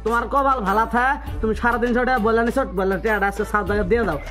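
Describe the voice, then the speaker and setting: Man acting a high-pitched, pushy chicken seller. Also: steady tone, quick pace, lightly acted. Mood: scolding, insistent. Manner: insistent, haranguing, repetitive. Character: pushy, scolding chicken seller demanding an unpaid debt. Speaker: prank caller. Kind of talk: prank phone call in colloquial Bengali. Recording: music behind the voice.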